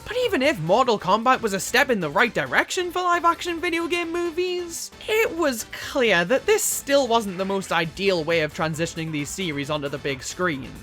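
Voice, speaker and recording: A woman's voice: on the high side, a bit bright. A woman delivering scripted video essay commentary as a reviewer, opinionated and emphatic in a casual way.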